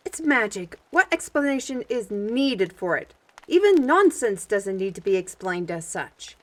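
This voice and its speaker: A woman playing a cheeky, excitable fantasy adventurer in an audio drama, with teasing, wordy, folksy phrasing. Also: medium pitch, measured pace, no room sound. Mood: exasperated.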